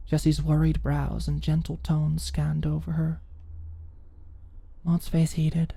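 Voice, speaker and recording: A woman's voice: sits low, monotone, slow, vocal fry, mellow, husky, no room sound. A woman reading scripted fiction as an audiobook narrator.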